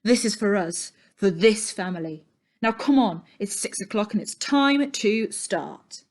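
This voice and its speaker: A woman plays an excitable working-class uncle from a children's storybook. He is bossy and impatient, barking out his words in loud exclamations, obsessed with his fish machines. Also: mid-range voice, a little slow, natural voice. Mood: commanding, urgent. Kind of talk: storybook character reading.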